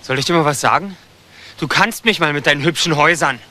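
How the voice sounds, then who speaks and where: A man's voice: on the high side, quick pace, bright, forceful tone. An actor playing a young homeless man, a cheeky, irritable petty thief in a crime radio play, brash and defiant. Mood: irritated, defiant.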